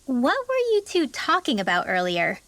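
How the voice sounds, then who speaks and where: Game role: nosy, spirited teen girl, high voice, needling manner. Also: huge pitch swings, moderate pace, fairly bright tone, no echo, full character voice. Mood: nosy, suspicious. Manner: pert, needling. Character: spirited, nosy, teasing teenage girl adventurer. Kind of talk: video game voice acting. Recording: studio voice over game music.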